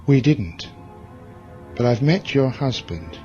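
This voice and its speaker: An actor playing a hard, bitter military commander in a science fiction audio drama, with a curt, commanding way of talking. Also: male voice, mid-range voice, expressive, slow, dark tone. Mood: probing, cold. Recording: dull lo-fi audio drama recording, music behind.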